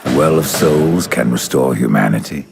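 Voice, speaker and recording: A man's voice: a bass voice, a little slow, gravelly, background noise, heavily acted. An actor playing Death, a grim and defiant pale horseman in a video game, terse, stern and brooding. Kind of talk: dramatic video game acting.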